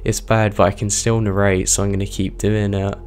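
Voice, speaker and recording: A man with a strained, hoarse voice, reading true horror stories aloud as the host of his channel at a steady pace. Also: lowish voice, fairly quick, slight background noise. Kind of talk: scripted horror story narration.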